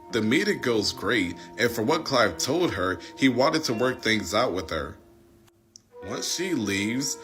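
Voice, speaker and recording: A man giving informal storytelling commentary in a conversational, plain-spoken way. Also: fairly low pitch.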